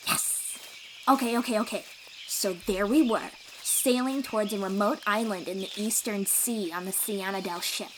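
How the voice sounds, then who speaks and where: A woman playing a cheerful, boastful young sea-dwelling warrior in an audio roleplay, eager and expressive as she talks to the listener. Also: mid-range voice, normal speed, background noise, lightly acted. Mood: dramatic, proud. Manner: eager, bragging, reassuring, expressive storyteller.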